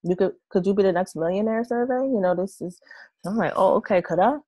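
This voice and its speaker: A woman in her thirties, hoarse from losing her voice, casually explaining an online tutorial with many fillers and restarts. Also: mid-range voice, a little fast, dark tone, no echo. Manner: rambling, hesitant, many fillers. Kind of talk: casual screen-share tutorial. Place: small room with red curtains, indoors.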